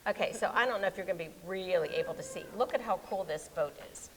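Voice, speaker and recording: A woman's voice: fairly low pitch, quick pace, a little hiss or hum, low-quality recording. Chatty, giggly American woman librarian. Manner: chatty, giggly, trails off mid-word. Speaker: co-host, children's librarian. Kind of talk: casual chat. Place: public library.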